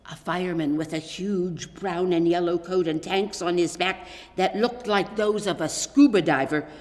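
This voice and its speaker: Female narrator, lower register, expressive children's story reading, long hall reverb. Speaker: author reading own story aloud.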